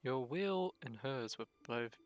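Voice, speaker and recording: A man's voice: mid-range voice, expressive, takes it slowly, faint music behind. A man streaming a video game, casually reading dialogue aloud and adding his own comments.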